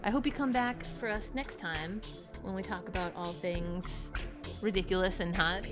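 A woman's voice: fairly low pitch, moderate pace, music in the background. A woman co-hosting a podcast in casual comedic chat, playful and brash. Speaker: podcast co-host.

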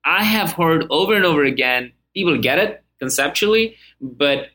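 A man's voice: a little high, lively intonation, moderate pace, bright, forceful tone. A man hosting a podcast interview, chatty and enthusiastic, often restarting his sentences. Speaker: podcast host.

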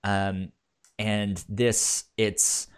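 A man co-hosting a podcast in casual chat, halting and often repeating the starts of his words. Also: on the low side, little pitch movement, clean tone. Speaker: podcast co-host.

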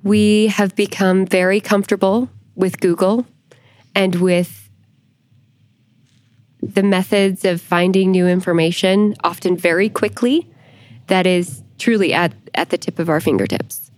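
A woman speaking as a church historian guest on a podcast, explaining things in a measured, deliberate manner. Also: fairly low pitch, a little mellow, no echo.

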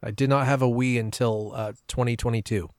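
A man with a clean, smooth voice talks casually as a guest on a podcast. He tells personal stories in a conversational way, recorded dry with no room around him. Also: medium pitch, fairly even intonation, brisk, a little mellow, no room sound. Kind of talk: casual chat, telling personal anecdotes.

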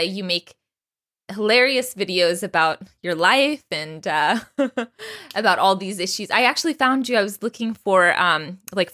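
A woman podcast host with a mid-pitched voice and a very dry, close sound. She presents and interviews in the manner of a conversational show. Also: bright, forceful tone, no room sound. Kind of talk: scripted introduction, then casual interview chat.

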